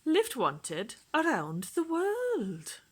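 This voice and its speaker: A woman voicing a tiny, wistful female sea snail in a children's storybook reading, in a lilting Welsh accent and a small, high voice full of wonder. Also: wildly swooping pitch, extremely slow, full character voice. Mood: hopeful.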